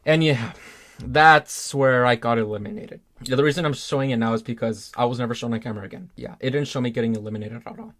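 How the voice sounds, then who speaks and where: A man's voice: mid-range voice, fast, a bit bright. A young man casually telling his story in a vlog, chatty and full of "like" and "um". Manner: chatty, filler-heavy, lots of "like". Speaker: YouTuber recounting a game show.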